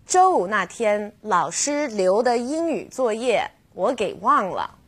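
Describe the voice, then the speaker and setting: A woman hosting a language lesson with scripted, didactic delivery, slowing down to model key phrases. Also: medium pitch, big pitch swings, breathy edge. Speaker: host and language teacher. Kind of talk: scripted educational presenting.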